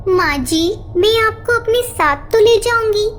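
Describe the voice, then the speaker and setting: A woman's voice: extremely high pitch, animated, a little slow, faint music behind. A woman voicing a sweet young female bird, polite and earnest, in cartoon dialogue. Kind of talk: Hindi cartoon moral story dialogue.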